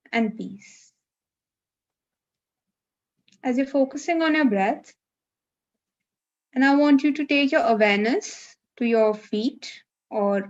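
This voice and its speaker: Slow-paced woman guiding a meditation. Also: fairly high pitch, dull audio. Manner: gentle, unhurried instruction with long pauses. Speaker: meditation guide. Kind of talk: live guided meditation. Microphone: video call.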